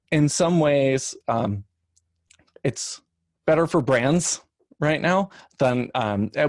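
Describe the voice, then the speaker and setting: A man speaking as an expert panelist, explaining things in a halting way and often checking in with the listener. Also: mid-range voice, dry recording. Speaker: podcast industry panelist.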